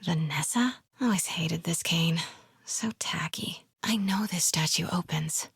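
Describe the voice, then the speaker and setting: Casting: a young female investigative reporter for a game, plucky and nosy, very breathy voice, very dry sound. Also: fairly low pitch, unhurried, no echo, full character voice. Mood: hushed, focused. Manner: hushed muttering to herself. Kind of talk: video game voice acting.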